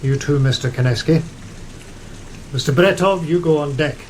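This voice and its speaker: An actor playing a weary, haunted old sea captain who gives terse, measured orders in a gothic horror audio drama. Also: male voice, fairly high pitch, slight background noise, lightly acted. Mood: weary command. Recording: loud rain behind the voice.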